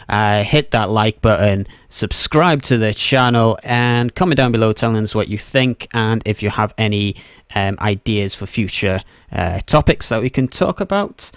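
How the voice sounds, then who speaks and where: A man speaks casually as a podcast co-host. His voice is clean and resonant at an average pitch, recorded close with very little room sound. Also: clean tone, no room sound.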